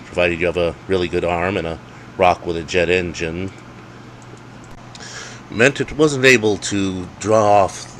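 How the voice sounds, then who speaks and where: Male narrator, low register, wry storyteller reading spooky comedy, slight noise behind the voice. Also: rough recording. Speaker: author reading own story.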